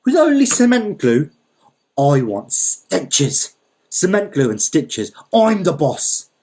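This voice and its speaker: A man voicing a domineering, furious male landowner who hurls insults in a storybook reading, his voice husky. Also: a little high, huge pitch swings, takes it slowly, mellow, voice pushed up, heavily acted. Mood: furious, bossy.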